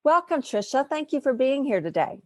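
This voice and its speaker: A woman's voice: sits high, big pitch swings, normal speed, dry recording. Woman host with a dry, close sound. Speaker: podcast host. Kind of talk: reading a guest introduction, then asking questions.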